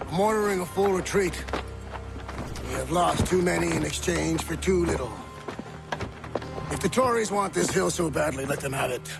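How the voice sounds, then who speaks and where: A man playing an agitated, commanding military officer in a video game, with forceful, declamatory delivery. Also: a little high, moderate pace, creaky, pauses often, over loud music. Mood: grim, bitter.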